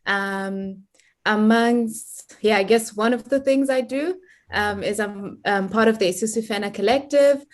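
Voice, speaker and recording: A young woman from Zimbabwe speaking informally as a guest in an online discussion, halting as she thinks aloud. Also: medium pitch. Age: young adult. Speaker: guest, community organizer.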